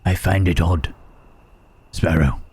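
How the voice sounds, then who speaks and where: A man playing a gentle, melancholy Frankenstein's monster in an intimate ASMR roleplay, speaking haltingly and formally in a husky, breathy rasp. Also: low voice, steady tone, heavily acted. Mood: sorrowful, wondering. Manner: slow, halting, archaic formal phrasing with long pauses.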